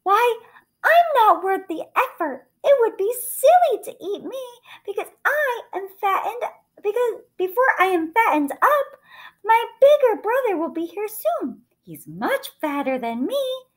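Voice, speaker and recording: A woman's voice: extremely high pitch, big pitch swings, measured pace, pitched above the performer's own voice, heavily acted. A woman voicing a tiny, timid young male billy goat in a storybook, with a very small, trembling, pleading voice. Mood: trembling, persuasive. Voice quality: very small, thin voice. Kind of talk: children's storybook character voice.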